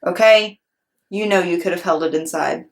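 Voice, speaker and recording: A woman playing a patient, stern but kindly male school principal in a children's storybook, measured and gently admonishing. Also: fairly low pitch, close to an everyday voice. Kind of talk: children's storybook read-aloud.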